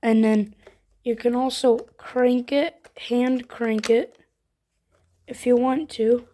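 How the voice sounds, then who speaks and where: Female product reviewer, higher-pitched, informal, walking through features step by step in a casual demo. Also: fairly even intonation, slow pace, dark tone.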